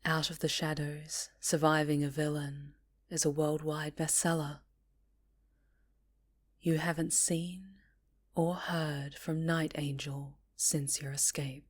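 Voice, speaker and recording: A woman narrating a scripted story introduction in a measured delivery. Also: sits low, level tone, slightly rough.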